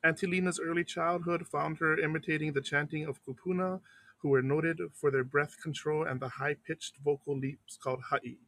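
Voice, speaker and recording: A man from Hawaii, reading a biography aloud on the radio and pausing now and then to explain local words in a casual aside. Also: on the high side, fairly even intonation, fairly bright tone, no echo, poor audio.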